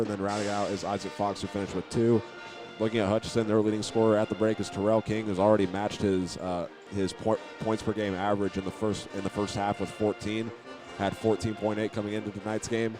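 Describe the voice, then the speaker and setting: Low voiced guy doing sports radio recap, pretty flat tone, bit halting, faint music behind. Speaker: sports play-by-play announcer. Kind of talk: radio halftime recap commentary.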